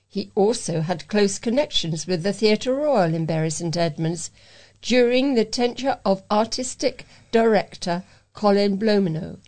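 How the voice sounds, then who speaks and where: A woman's voice: medium pitch, darkish tone. British woman reading local news. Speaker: volunteer newsreader. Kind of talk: read-aloud local news.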